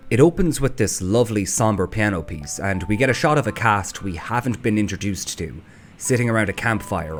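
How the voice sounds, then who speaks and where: A man reading scripted video essay narration in a wry, sardonic, sweary way. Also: on the low side. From Ireland. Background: background music.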